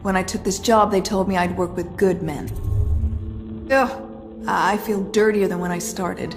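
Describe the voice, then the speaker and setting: An actress playing a prim, exasperated young governess in a video game, crisp, proper and indignant. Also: female voice, medium pitch, fairly even intonation, a little slow, lightly acted. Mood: indignant, disgusted. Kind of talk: dramatic game cutscene dialogue. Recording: long reverb under loud music.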